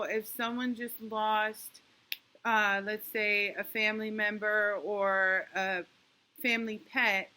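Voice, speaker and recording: A woman teaching a young class online and checking often that the children follow, speaking slowly and patiently. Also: fairly high pitch, sharp tone, poor audio. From New York. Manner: patient, encouraging, talks slowly to kids with many "okay?" checks. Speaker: elementary school teacher.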